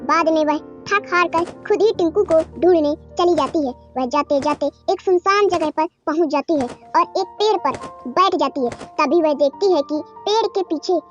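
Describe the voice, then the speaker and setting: A woman narrates a children's story in an extremely high voice. The recording is a bit dull, with soft music playing underneath. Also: normal speed.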